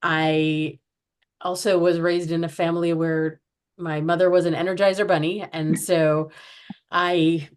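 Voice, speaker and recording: A woman's voice: fairly low pitch, little pitch movement, measured pace. An American woman speaking earnestly as a podcast guest, often restarting and searching for words. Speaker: guest, project founder. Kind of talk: podcast interview.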